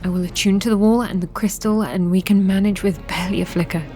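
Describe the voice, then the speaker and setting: A woman playing a resolute, war-weary young princess in a fantasy audio drama, measured, formal and earnest. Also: medium pitch, fairly even intonation, dark tone, soft background music, close to an everyday voice. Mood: urgent, persuasive.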